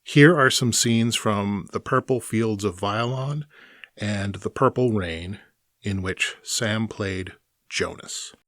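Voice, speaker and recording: A man introduces clips in a plain, measured way. He speaks slowly in a lowish voice, recorded dry and close. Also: fairly bright tone, dry recording. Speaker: host. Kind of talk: memorial introduction.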